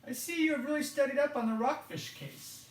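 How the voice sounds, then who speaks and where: A man playing a calm, guarded impostor police officer with quiet menace in an audio drama. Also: very high voice, fairly quick, distant mic. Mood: polite, probing. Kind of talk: naturalistic radio drama dialogue. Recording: roomy, echoing, off-mic sound.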